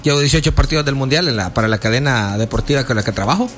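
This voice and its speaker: A man's voice: medium pitch, fast, a bit bright, soft background music. A sports commentator cohosting a radio chat, a man who laughs often and jokes along.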